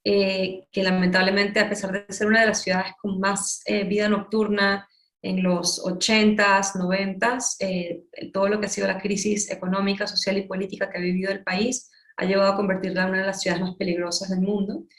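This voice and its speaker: A woman's voice: mid-range voice, little pitch movement, brisk. Venezuelan lady answering interview questions, explains stuff with examples, echoey room sound. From Caracas, Venezuela. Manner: articulate, explanatory, builds points with examples. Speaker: interviewee, urban nightlife consultant. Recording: roomy, echoing room sound.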